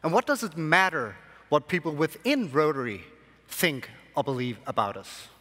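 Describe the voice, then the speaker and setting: A young man, born in Sri Lanka and raised in Denmark, giving a keynote speech to a convention audience from a large hall stage with measured, emphatic phrasing. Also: on the high side, lively intonation, pushed and bright, breathy edge. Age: young adult. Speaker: conference speaker. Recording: long hall reverb.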